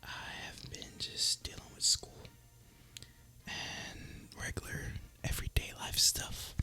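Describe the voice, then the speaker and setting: Young adult man, breathy bright whisper, unhurried casual gameplay commentary for ASMR. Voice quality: soft breathy unvoiced whisper. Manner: casual, relaxed, rambling. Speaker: gaming ASMR YouTuber.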